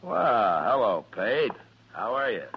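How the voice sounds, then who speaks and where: An actor playing a steady, weary frontier marshal in a radio Western, with a measured, probing, laconic manner. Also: male voice, medium pitch. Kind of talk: 1950s radio Western acting with first-person narration. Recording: dull vintage radio recording with music.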